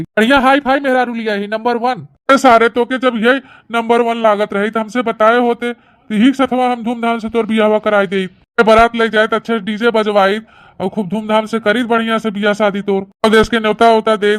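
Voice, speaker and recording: A woman's voice: on the high side, close to an everyday voice. A woman voicing a bossy, stubborn village man in a comic family cartoon, blustering and argumentative, with an Awadhi accent. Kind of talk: rustic comedy cartoon dialogue.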